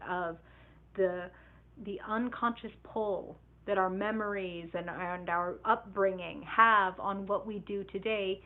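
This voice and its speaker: A woman giving a live-streamed tarot reading in a chatty, animated way, swearing casually and acting out imagined inner voices. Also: medium pitch. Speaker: tarot reader. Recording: tinny phone-like live stream audio.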